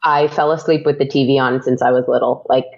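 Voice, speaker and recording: Gravelly, chatty woman on a dull lo-fi line. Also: extremely low pitch, steady tone, fast talker, a little mellow. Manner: chatty, laughs often, many fillers. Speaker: podcast guest. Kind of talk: casual interview chat.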